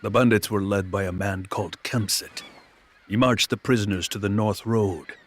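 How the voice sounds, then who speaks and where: Actor as a low-voiced, serious freed captive. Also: male voice, fairly even intonation, normal speed, lightly acted. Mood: grave. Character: sober, grateful male freed captive. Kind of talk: video game cutscene acting.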